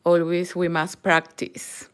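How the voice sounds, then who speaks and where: A woman's voice: lowish voice, monotone, takes it slowly, smooth. A middle-aged Peruvian woman with a Spanish accent, a native Spanish speaker, giving a live crochet tutorial in careful non-native English that often drops to a near whisper. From Peru. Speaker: crochet channel host.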